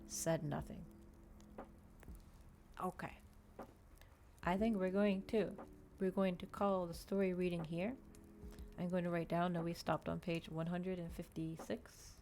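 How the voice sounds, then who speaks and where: A woman's voice: on the low side, a little mellow. A woman streamer doing an ASMR stream, reading a book and chatting casually to listeners. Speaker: VTuber streamer, reader.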